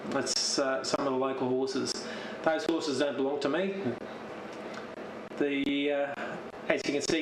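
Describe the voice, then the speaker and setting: An Australian man giving an informal, halting show-and-tell narration as an amateur television operator. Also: fairly high pitch. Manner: halting, unscripted. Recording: roomy, noisy radio-transmission audio.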